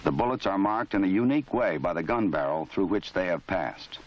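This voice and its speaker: A man with a fairly low voice delivers measured, matter-of-fact narration in a formal register. The sound is dull and lo-fi, like an old 1970s film soundtrack. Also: expressive. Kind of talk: scripted documentary narration. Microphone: voice-over.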